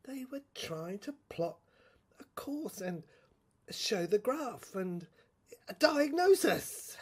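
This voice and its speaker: An actress plays a troubled, inward-looking woman delivering a confessional audio-drama monologue. Her speech is slow and husky, circling back on phrases as she searches for words. The pitch swings widely and at moments falls to a hush. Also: exaggerated voice. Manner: halting, repetitive, searching, with sudden hushed drops. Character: troubled introspective woman obsessively recounting her inner mental breakdown. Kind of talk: dramatic first-person monologue for a serial audio play.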